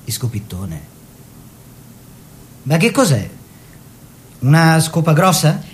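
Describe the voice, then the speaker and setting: An actor playing an eager young bourgeois painter in a theatrical monologue, expressive and savouring short phrases. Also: male voice, a little high, slow pace, a little hiss or hum. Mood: wondering.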